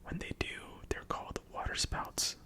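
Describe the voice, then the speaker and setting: A man whose first language is Spanish, whispering numbered facts ASMR-style with casual chatty asides. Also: measured pace. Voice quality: soft unvoiced whisper. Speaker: ASMR host. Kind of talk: ASMR whispered fact reading.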